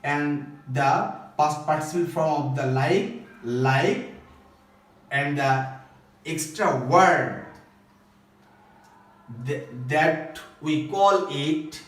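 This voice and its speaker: A man with a Bangladeshi accent teaching English grammar step by step, in a repetitive, phrase-by-phrase instructional way. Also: fairly high pitch. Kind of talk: recorded grammar lesson, step-by-step explanation. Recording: echoey room, distant microphone.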